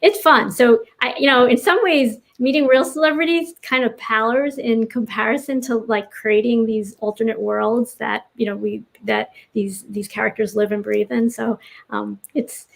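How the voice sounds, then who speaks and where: Higher-voiced woman, earnest interview guest. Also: steady tone, moderate pace, vocal fry. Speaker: writer, podcast guest. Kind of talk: casual interview chat.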